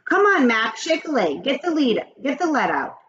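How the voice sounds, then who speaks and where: A woman reading aloud and voicing a brisk, impatient schoolteacher hurrying a late pupil. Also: sits high, big pitch swings, fairly bright tone, highs cut off, pitched above the performer's own voice. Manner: hurrying, bossy.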